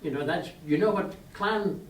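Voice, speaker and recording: A man's voice: fairly high pitch, normal speed. Man chairing a meeting in an echoey hall. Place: meeting room. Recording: echoey hall, distant mic, faint hiss.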